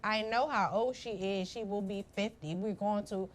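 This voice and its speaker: Expressive woman radio co-host. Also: mid-range voice, moderate pace. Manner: expressive, acts out quotes, preachy asides. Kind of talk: casual chat.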